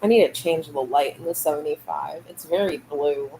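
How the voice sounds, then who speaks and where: A woman's voice: low voice, normal speed, warm tone, a little hiss or hum, low-quality recording. A woman hosting a casual late-night livestream from a home room lit by fish tanks, talking in a meandering, hesitant way. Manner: meandering and hesitant, many fillers and trailing thoughts. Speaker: livestream host.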